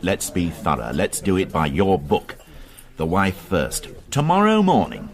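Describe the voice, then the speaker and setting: Radio crime drama: exacting male inspector, curt, commanding, dry, very wide pitch swings. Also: wildly swooping pitch, unhurried, heavily acted. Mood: brisk, probing. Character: gruff, irritable, exacting senior police inspector.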